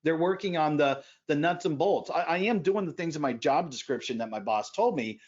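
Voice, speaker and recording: A man speaking as a podcast guest in animated conversation, emphatic, with loud bursts and quieter asides. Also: on the high side, a little fast, dull audio.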